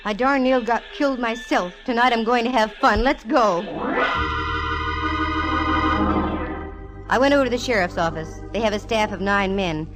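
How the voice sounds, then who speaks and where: A woman's voice: a little high, a little fast, natural voice, rough recording. An actress playing a sassy, wisecracking female private eye, with wry, punchy delivery in 1940s radio style. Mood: brisk, wry. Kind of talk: 1940s radio detective acting and narration. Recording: vintage radio broadcast with music behind.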